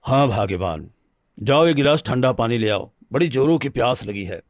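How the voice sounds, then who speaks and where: A man's voice: mid-range voice, animated, moderate pace, dry recording, studio quality, close to an everyday voice. A man playing a good-natured married village woodcutter in a cartoon story, earnest and homely in manner. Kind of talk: Hindi cartoon story dialogue.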